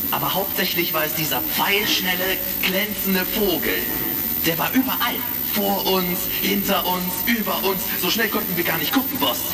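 An actor playing a nervous, excuse-making space pirate underling in a retro sci-fi radio play. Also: male voice, high-pitched, normal speed, creaky. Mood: nervous pleading. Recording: echoey hall from far off, music.

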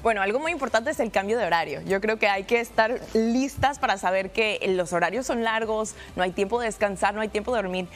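A woman's voice: mid-range voice, fairly quick, few pauses, a little hiss or hum. A young woman from the United States, a pageant winner, talking earnestly and upbeat in a television studio interview. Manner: earnest, upbeat, some restarts and fillers. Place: TV studio set.

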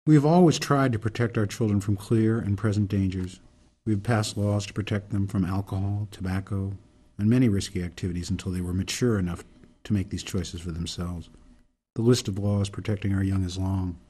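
A man acting in a radio drama as an earnest older reformer, delivering a deliberate, solemn, speechifying monologue. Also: lowish voice, warm tone, breathy edge. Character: earnest older male reformer laying out a grave case.

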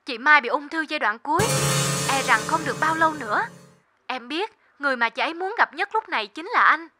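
A woman's voice: sits high, lively intonation, brisk, loud music behind the voice. A woman playing a sympathetic, earnest bearer of grave news in a cartoon drama. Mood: urgent, grave.

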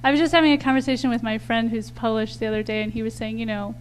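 A woman's voice: a little high, steady tone, racing, a little hiss or hum. A woman moderating a panel discussion, asking long casual questions full of you-knows. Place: stage of a lecture hall before an audience.

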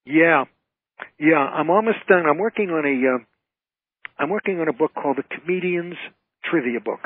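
An older man chats as a radio interview guest, sharing stories in a casual way. His voice is rough and husky, and it comes through thin and narrow, like a telephone call. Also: on the high side, normal speed. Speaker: guest, author and comedy emcee. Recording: tinny, narrow phone-line sound.

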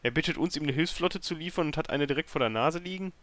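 A man's voice: a little high, fast, fairly bright tone, no room sound. Grumbling man playing a game. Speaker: let's player hosting a gameplay series. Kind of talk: casual gaming commentary, thinking aloud.

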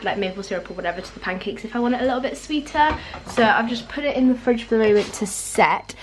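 A woman's voice: fairly high pitch, slight background noise. A young woman in her twenties chatting casually to a handheld camera in her home kitchen, with lots of filler words. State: croaky just-woken voice. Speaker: vlogger. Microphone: handheld camera at arm's length.

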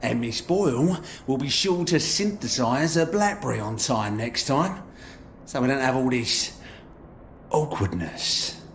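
A man's voice: medium pitch, expressive, measured pace, slight background noise. An actor with a British accent playing a wry, jovial leader placating his lads in a video game drama.

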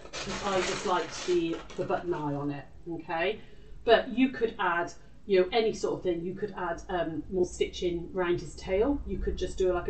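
British woman, low-pitched, echoey craft demonstration. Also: a little mellow, a little hiss or hum. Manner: chatty, informal, talks to self while working. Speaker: craft tutor and live show host. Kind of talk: casual live craft demonstration. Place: indoor craft room. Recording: echoey room, distant microphone.

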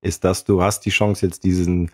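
Husky low-voiced man chatting. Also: level tone, normal speed. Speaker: podcast co-host. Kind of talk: casual chat.

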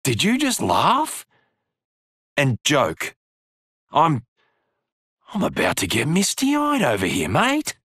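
An actor playing a brash, roguish middle-aged Australian mercenary in a video game, playful in delivery. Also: male voice, on the high side, wildly swooping pitch, slow, long pauses, a bit bright, breathy edge, no echo, studio quality, full character voice. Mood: teasing disbelief.

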